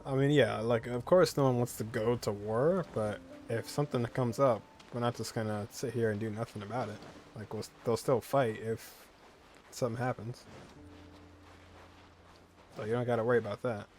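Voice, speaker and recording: A man's voice: mid-range voice, steady tone, moderate pace, a little mellow, a little hiss or hum. A man giving casual, slangy, offhand commentary as he plays a video game. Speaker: gamer, video creator. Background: game music.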